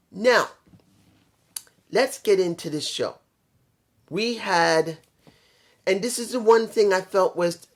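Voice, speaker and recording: A slightly hoarse vlogger giving a casual, opinionated wrestling review, conversational and repeating points for emphasis. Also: mid-range voice.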